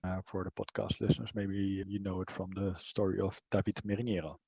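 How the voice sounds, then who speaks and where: Mellow-voiced Dutch man, muffled audio. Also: sits low, level tone, brisk, vocal fry, husky, no room sound. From Netherlands. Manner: thoughtful, with frequent fillers and restarts. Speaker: interview guest, martial arts teacher. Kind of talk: casual conversation.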